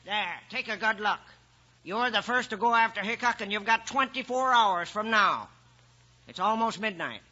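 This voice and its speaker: Shrill, taunting outlaw ringleader. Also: male voice, normal speed, pushed and bright, heavily acted. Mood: gloating, menacing. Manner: mocking, commanding. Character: sly, bossy, taunting western outlaw ringleader. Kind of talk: old-time western radio acting. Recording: dull vintage radio recording.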